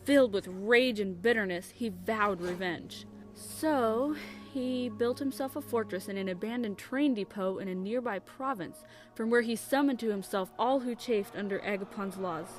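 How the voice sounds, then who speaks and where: A woman playing a kindly storyteller in an audio drama, earnest and deliberate as she narrates. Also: medium pitch, faint music behind, close to an everyday voice. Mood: grave storytelling. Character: kindly grown woman telling a child a story.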